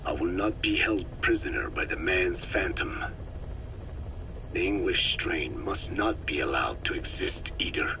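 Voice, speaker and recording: A grave old Navajo scientist. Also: male voice. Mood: solemn. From Navajo Nation, American Southwest. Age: older. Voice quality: low, slow, measured and solemn, often breathy. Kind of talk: game cassette tape dialogue. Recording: noisy tape hiss.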